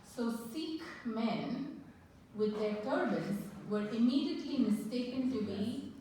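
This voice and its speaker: A woman giving an academic panel talk at a conference, measured and thoughtful, often posing questions to the audience. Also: on the high side, expressive, dark tone, a little hiss or hum. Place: conference hall. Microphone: microphone that cut out mid-talk. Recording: echoey hall, from far off.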